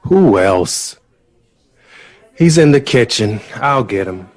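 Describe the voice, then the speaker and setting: Devoted, exasperated partner, caring and insistent; mid-pitched, very varied intonation, measured pace. Radio drama. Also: male voice, expressive. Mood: suspicious, prodding. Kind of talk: audio drama acting.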